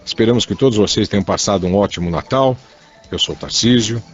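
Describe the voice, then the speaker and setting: A man's voice: on the low side, quick pace, faint music behind. A man with a Brazilian accent co-hosting a radio show in casual conversation, often repeating and circling back on his phrases. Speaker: radio co-host. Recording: dull lo-fi radio recording.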